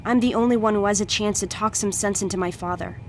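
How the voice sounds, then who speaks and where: A woman's voice: mid-range voice, fairly even intonation, fast talker, a little hiss or hum, close to an everyday voice. An actress playing a loyal, earnest young woman in a video game, speaking in a heartfelt, urgent dramatic style. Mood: wistful, resigned.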